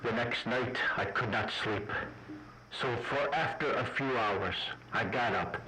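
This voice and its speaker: A man playing an uneasy traveller who narrates his captivity in a radio drama, with deliberate, suspenseful phrasing. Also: mid-range voice, sharp tone, soft background music, rough recording.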